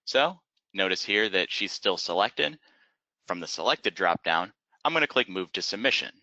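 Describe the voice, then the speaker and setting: A man narrating an instructional webinar as a software trainer, explaining methodically step by step in a close, dry, slightly dull recording. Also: low voice, expressive, normal speed, dry recording.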